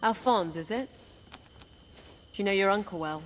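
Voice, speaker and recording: A woman playing a calm, earnest, determined young blind princess in an audio game. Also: mid-range voice, moderate pace, natural voice, poor audio. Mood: calm, gentle. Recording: music behind the voice.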